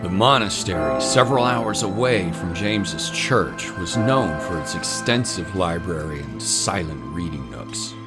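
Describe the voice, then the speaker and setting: Deep male storyteller over music. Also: lively intonation, a little slow, few pauses. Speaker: narrator. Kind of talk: scripted dramatic fiction narration. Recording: music bed under the voice.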